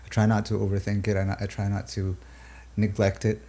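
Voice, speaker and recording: A man from Pakistan and the UAE, native Urdu speaker, talking thoughtfully about his music in a halting, filler-filled way. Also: fairly low pitch, monotone, creaky, warm tone. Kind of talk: reflective interview talk.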